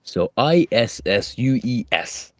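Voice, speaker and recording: A man's voice: mid-range voice, very animated, slow pace, no echo. A British man chatting as a guest on a live stream, hesitant, repeating his words and playful. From United Kingdom. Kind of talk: casual live-stream chat.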